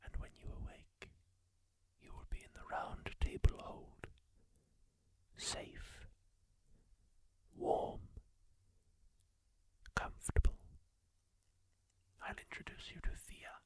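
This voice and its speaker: A performer whispering in character as a kindly masked healer, slow and hushed, in an ASMR roleplay. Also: natural voice. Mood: soothing. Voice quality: whispered, gravelly and breathy. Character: kindly masked healer tending a wounded wanderer.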